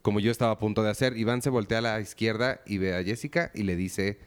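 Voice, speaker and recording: A man with a smooth, resonant voice, talking as a podcast co-host. He keeps the chat light with jokes and playful teasing. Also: on the low side, fairly even intonation, fast talker. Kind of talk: casual chat.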